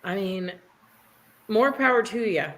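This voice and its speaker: A woman's voice: mid-range voice, lively intonation, unhurried, slight background noise, rough recording. A woman in her thirties with a Midwestern American accent, chatting casually on a livestream, rambling and self-deprecating and often trailing off mid-sentence. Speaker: livestream co-host.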